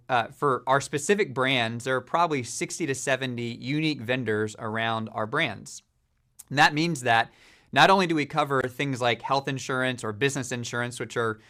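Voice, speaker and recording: A man giving a steady, businesslike corporate explainer about a supplier program, with frequent fillers. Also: mid-range voice. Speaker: company leader presenting a supplier program.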